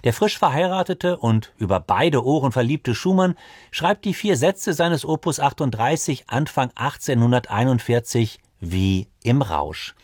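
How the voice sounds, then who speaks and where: A man works as a radio music presenter, reading prepared narration. His voice sits in a mid-range pitch and is recorded very dry, with hardly any room sound. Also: expressive, a little slow, darkish tone, no echo. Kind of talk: scripted narration.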